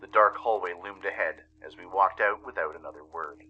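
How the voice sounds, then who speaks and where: A man's voice: sits low, normal speed, dull audio, a little hiss or hum. A man giving dramatic scripted narration of a story, as the host of a radio-style show.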